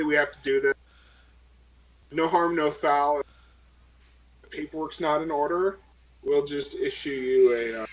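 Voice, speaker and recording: Guy doing a lady marshal in an rpg, placating, rambly, a bit high pitched. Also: lightly acted, low-quality recording. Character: reassuring, talkative female marshal, casually soothing a suspect.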